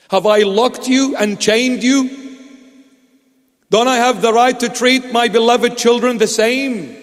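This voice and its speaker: A man preaches with a slow, measured pace and a high-pitched voice. He leans on repeated rhetorical questions and throws in light teasing asides. His words ring through a church hall with a long reverb. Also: steady tone. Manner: emphatic, repetitive rhetorical questions, playful asides. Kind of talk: sermon.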